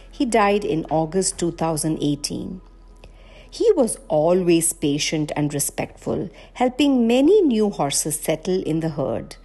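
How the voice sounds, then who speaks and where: A woman reading a children's picture book aloud in a deliberate, gentle way, speaking to young listeners as a storyteller. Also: fairly low pitch. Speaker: storyteller, Montessori educator.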